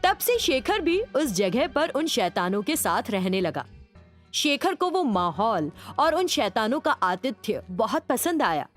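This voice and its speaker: Animated woman narrating a story. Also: on the high side, measured pace, faint music behind. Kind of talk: scripted children's story narration.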